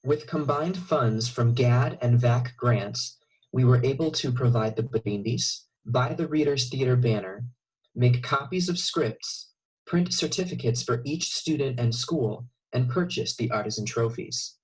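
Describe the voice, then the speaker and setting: A man delivers scripted narration for an informational video. His voice sits at an average pitch and has a slight huskiness. He sounds like he is reading prepared text. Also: a little slow, husky, poor audio.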